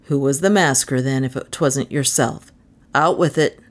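A woman voicing a big, suspicious, self-satisfied policeman with a gruff, folksy way of talking in a storybook. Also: very deep voice, moderate pace, pitched below the performer's own voice. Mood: stern, demanding. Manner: folksy, colloquial, gloating.

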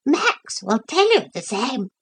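A woman's voice: on the high side, sing-song, takes it slowly, husky, voice pushed up, exaggerated voice. A woman voicing a self-pitying, whining female pet dog in a storybook reading. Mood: fawning. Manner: whining, plaintive.

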